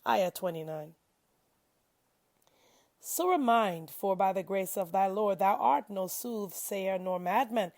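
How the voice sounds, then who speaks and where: A woman reading religious scripture aloud expressively, with measured pauses and dramatic emphasis. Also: medium pitch, a little mellow, no echo, studio quality. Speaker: reader of scripture.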